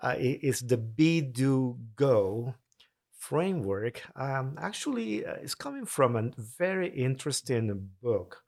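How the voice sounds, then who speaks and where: A man's voice: medium pitch, sing-song, a little slow, creaky, a little mellow. A man from Honduras, explaining his ideas earnestly in a podcast interview. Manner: earnest, explanatory, occasional restarts. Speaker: mission agency president.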